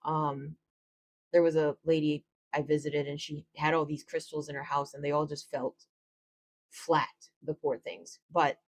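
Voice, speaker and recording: A woman with a low voice, a tarot reader gently explaining spiritual ideas in a casual, reflective talk to camera. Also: little pitch movement, unhurried.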